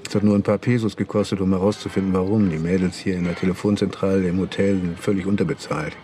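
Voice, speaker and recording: Actor as a low-voiced, cynical intelligence agent. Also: male voice, steady tone, quick pace, mellow, close to an everyday voice. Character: cynical, menacing British intelligence agent recruiting an informant. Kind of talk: German radio crime drama acting.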